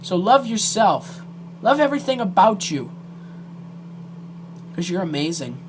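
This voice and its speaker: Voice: mid-range voice, very animated, a little slow, slight background noise. An amateur video creator speaking directly to viewers in an earnest, emotional and hesitant way.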